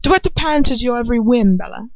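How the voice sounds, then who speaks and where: A woman's voice: fairly high pitch, lively intonation, very fast, no echo. A woman voicing a haughty aristocratic man in a story reading, drawling and contemptuous with exasperation. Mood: exasperated. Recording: dull lo-fi home recording.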